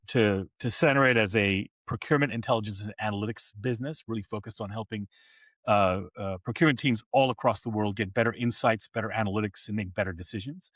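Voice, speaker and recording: A man's voice: on the low side, fairly bright tone, no echo. A man in his later years who grew up in Hong Kong, answering interview questions thoughtfully with frequent restarts. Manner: thoughtful, many fillers and restarts. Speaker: podcast guest.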